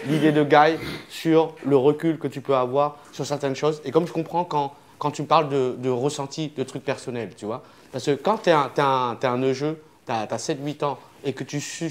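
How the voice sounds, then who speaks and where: A young adult man, a comedian guest, talking in a casual conversation and weighing his words carefully. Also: medium pitch.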